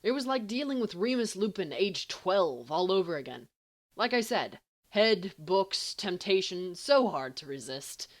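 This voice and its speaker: A woman's voice: mid-range voice, sing-song, a little slow. A woman playing a brash, teasing teenage schoolboy in an audiobook, indignant and flippant with a mock-grand manner.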